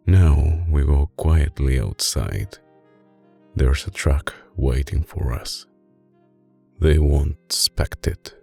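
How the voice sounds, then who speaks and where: A man playing a tender, possessive mafia boss with an Italian accent in an intimate, soft-spoken ASMR roleplay, his voice deep, breathy and husky. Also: heavily acted. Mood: grave, conspiratorial. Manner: slow, hushed, coaxing, with dramatic pauses. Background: music.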